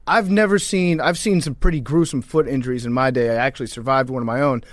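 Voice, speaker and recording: A man's voice: mid-range voice, fast talker, no echo. A man co-hosting a sports podcast in casual, profane banter, telling stories informally. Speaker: podcast co-host.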